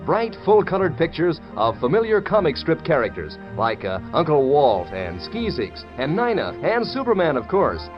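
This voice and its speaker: A man's voice: on the high side, huge pitch swings, moderate pace, hardly any pauses, faint music behind. An American man announcing a 1940s radio advertisement with chummy, peppy salesmanship. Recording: dull 1940s radio recording.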